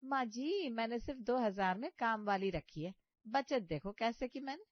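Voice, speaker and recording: A woman acts out a thrifty, self-satisfied daughter-in-law from a comic cartoon story. She is animated and eager to please. The recording is very dry and slightly dull. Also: a little high, normal speed, no echo, voice pushed up. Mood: eager, defensive.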